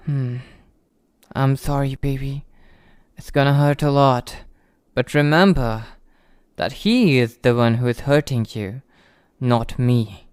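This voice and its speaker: A man's voice: a little high, a little mellow, clear, resonant tone, no room sound, clean studio sound. A man playing an obsessive, possessive young childhood friend in love, in an intimate ASMR roleplay monologue, pleading and menacingly tender.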